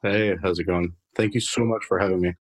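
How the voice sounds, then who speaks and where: A man's voice: deep voice, steady tone, brisk, dry recording. A man in his thirties from France, a marketing director speaking as a podcast guest. He talks matter-of-factly and rattles through lists of his experience. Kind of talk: conversational interview.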